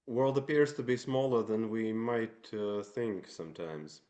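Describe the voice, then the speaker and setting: A man interviewing for a broadcast, phrasing things carefully and haltingly with many hesitations. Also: fairly low pitch, darkish tone. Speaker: radio interviewer. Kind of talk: measured interview questioning.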